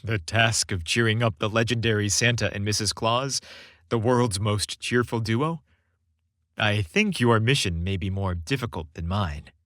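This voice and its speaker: A man's voice: lowish voice, measured pace, fairly bright tone, no room sound, clean studio sound, voice pushed down. A man voicing an earnest, determined young man, Santa's son, speaking in a confiding way in a story. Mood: soft, wry.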